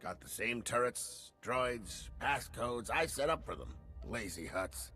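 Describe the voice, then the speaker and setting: An actor playing a gruff, irreverent veteran security chief, blunt and wry in an informal way. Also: male voice, medium pitch, lively intonation, slow, sharp tone, soft background music.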